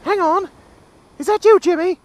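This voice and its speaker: Man putting on high-voiced cheeky little dead oyster. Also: moderate pace, no room sound. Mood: cheeky, resigned. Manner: high put-on cartoonish voice. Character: small dead oysters chatting, cheeky and world-weary.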